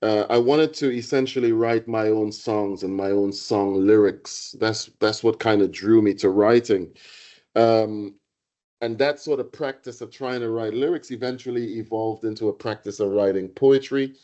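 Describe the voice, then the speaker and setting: A middle-aged man from Trinidad, giving a reflective public lecture on poetry and music, pausing and restarting as he thinks. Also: on the low side, steady tone, warm tone, dull audio. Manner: reflective, halting with restarts and fillers. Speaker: guest lecturer, poet.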